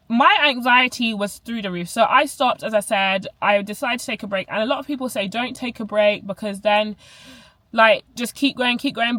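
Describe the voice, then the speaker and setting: A woman's voice: on the high side, fairly bright tone, no echo, rough recording. A young woman from Barbados with a Barbadian accent, chatting casually to camera as a vlogger, full of "like" fillers and self-corrections.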